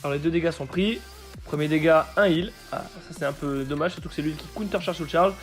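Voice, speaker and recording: A man with a hoarse voice from a cold, commentating a card game match he played and explaining each play step by step. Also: fairly high pitch, fast, soft background music. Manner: explains plays step by step with frequent fillers.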